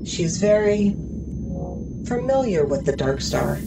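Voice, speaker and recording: A woman's voice: fairly low pitch, big pitch swings, a little slow, creaky, distant mic, loud music behind the voice, heavily acted. A woman playing a serene, literal-minded artificial crewmate in a sci-fi audio drama, speaking formally and precisely. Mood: calm, reassuring.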